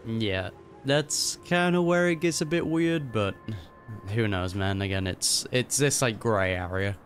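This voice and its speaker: A man's voice: fairly low pitch, unhurried, faint music behind. A man giving casual reaction commentary in an informal, musing, conversational way. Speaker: video game reactor.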